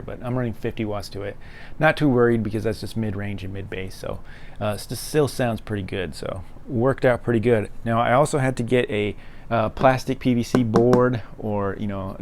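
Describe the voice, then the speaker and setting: A man's voice: fairly low pitch, darkish tone, a little hiss or hum. An American man presenting a DIY project walkthrough in an informal, rambling way, often repeating words for emphasis. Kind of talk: casual hands-on project walkthrough.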